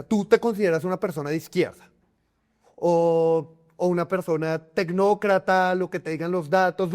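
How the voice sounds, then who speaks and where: A man's voice: high voice, a little mellow. A man interviewing a guest, asking loose, friendly questions with frequent restarts.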